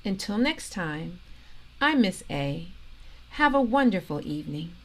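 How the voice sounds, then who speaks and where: A woman reading a children's biography aloud as a storytime host, in deliberate short phrases with expressive delivery. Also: on the low side, poor audio. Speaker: storytime reader and host, organisation president.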